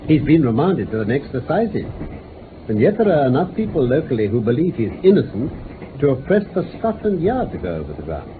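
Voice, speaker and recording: An actor playing a confident, methodical British detective, speaking precisely and with authority in a radio drama. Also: male voice, medium pitch, sing-song, a little fast, close to an everyday voice. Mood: explaining. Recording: muffled noisy vintage radio recording.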